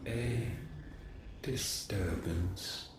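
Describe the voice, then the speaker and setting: An actor playing a cold, imperious godlike being in a fantasy game, with lofty, menacing delivery. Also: male voice, on the low side, very slow, heavy background noise. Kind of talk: dramatic fantasy game voice acting. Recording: echoing, distant voice under loud music. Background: loud music.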